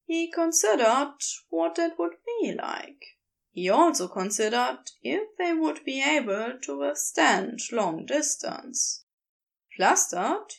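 A woman narrates a romantic story in a high, very animated voice. Her delivery is unhurried, with pitch that rises and falls freely through each phrase, and a strongly creaky edge. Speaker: podfic narrator reading fan fiction aloud.

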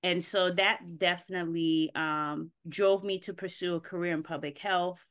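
Female guest raised in Haiti, smooth lower voice, pauses to think mid-sentence. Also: fairly even intonation, a bit bright. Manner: thoughtful, searching, many ums. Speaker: podcast guest. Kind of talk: casual interview answers. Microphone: video call.

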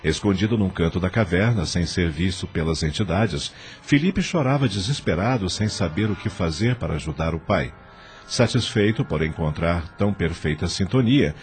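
A man's voice: low voice, a little mellow. A man narrating a scripted radio drama as its storyteller.

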